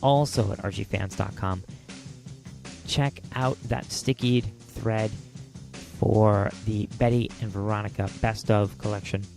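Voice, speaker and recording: Hoarse, gravelly male podcast host. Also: lowish voice, steady tone, measured pace, over music. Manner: casual, many "uh" fillers, repeats himself. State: losing his voice, scratchy. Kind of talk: solo podcast talk.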